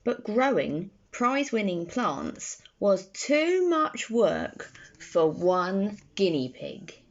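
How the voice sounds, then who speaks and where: A young woman reads a bedtime story for small children. Her pitch is medium and moves around a lot, and she phrases things deliberately. The phone recording sounds a little dull. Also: sing-song. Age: young adult. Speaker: storybook reader. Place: bedroom, indoors. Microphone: phone at arm's length.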